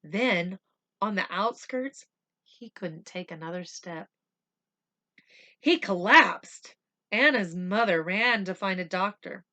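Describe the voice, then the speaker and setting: A woman reading a storybook aloud for children, with expressive, deliberate phrasing. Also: mid-range voice. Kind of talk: read-aloud storytelling with simple asides.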